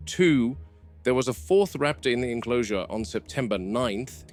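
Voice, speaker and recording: A man's voice: mid-range voice, expressive, moderate pace, darkish tone, soft background music, close to an everyday voice. A man playing a stern, suspicious game warden with a clipped, sardonic delivery in a horror film. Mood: suspicious, exasperated. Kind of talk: found-footage horror film acting. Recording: noisy found-footage style audio.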